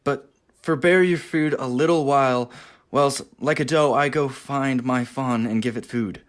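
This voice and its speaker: An actor playing a desperate but noble-hearted young gentleman in a Shakespearean radio play, earnest and theatrical. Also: mid-range voice, unhurried, close to an everyday voice. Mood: earnest, grateful.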